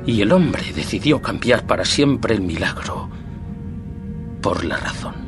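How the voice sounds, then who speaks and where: An actor voicing a solemn narrator of a dark mythic prologue, speaking slowly and gravely in a dubbed series. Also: male voice, lowish voice, expressive. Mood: solemn, ominous. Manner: slow, grave, portentous. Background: loud music.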